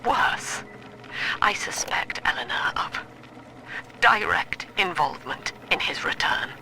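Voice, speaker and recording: An actress playing a cold, cerebral middle-aged cult leader and mother in a video game, speaking in measured, solemn, precise oratory. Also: mid-range voice, animated, background noise. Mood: troubled. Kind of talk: dramatic video game monologue.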